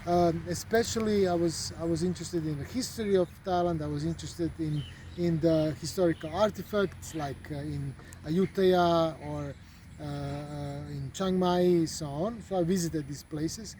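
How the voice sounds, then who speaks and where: Man with a high, mellow voice. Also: steady tone, moderate pace, slight background noise. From Croatia. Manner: hesitant, many fillers and repeated words. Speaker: traveler. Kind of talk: casual travel talk. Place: outdoors at a golf resort.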